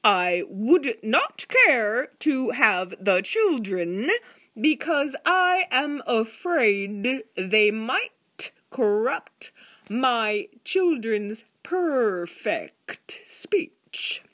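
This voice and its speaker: A woman voicing a prim, condescending neighbor lady who speaks with overly precise, slow diction. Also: fairly high pitch, sing-song, fairly bright tone, voice pushed up, full character voice.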